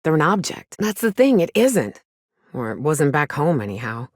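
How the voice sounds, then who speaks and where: A woman's voice: low-pitched, very animated, normal speed, no echo, clean studio sound. A woman playing a weary, cynical but caring frontier woman in a video game, blunt and plainspoken with folksy, sweary talk. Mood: disillusioned. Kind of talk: video game character dialogue.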